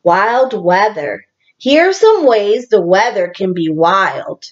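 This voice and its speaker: A woman teacher reading a picture book aloud to young children in a deliberate, animated storytelling manner, with asides to her listeners. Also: medium pitch, lo-fi sound.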